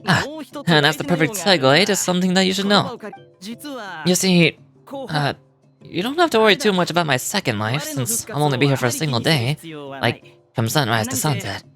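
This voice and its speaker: An actor voicing a revived ancient ruler in a boy's body, wry and easygoing, in dramatic video game dialogue with a deliberate delivery. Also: medium pitch, expressive, lightly acted. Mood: casual, wry. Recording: game music behind the voice.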